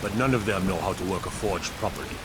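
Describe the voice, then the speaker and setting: An actor playing a gruff, honest old blacksmith, proud of his craft, in a fantasy video game. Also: low-pitched, quick pace, heavy background noise, natural voice. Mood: proud, earnest. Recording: game dialogue under loud rain and storm.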